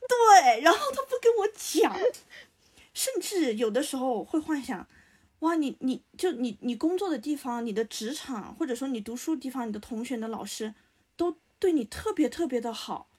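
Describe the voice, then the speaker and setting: A woman's voice: high voice, animated, moderate pace, slightly rough. A young woman chatting casually and animatedly among friends, talking through imagined examples.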